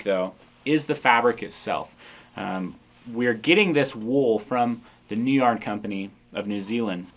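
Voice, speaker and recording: Seated at a camera in an indoor studio, a man in his thirties talks through a product in a casual, explanatory way. His voice sits at an ordinary middle pitch, and he fills pauses with frequent ums. He sounds relaxed. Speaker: company founder presenting a product. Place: indoor studio set with hanging jackets.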